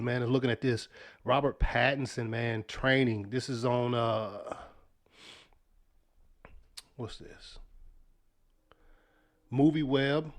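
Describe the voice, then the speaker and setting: A young man with a sniffly voice from sinus trouble, hosting a casual weekly news podcast in a loose, slangy way with lots of fillers. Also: medium pitch. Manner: laid-back, loose slang, many fillers and restarts. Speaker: podcast host.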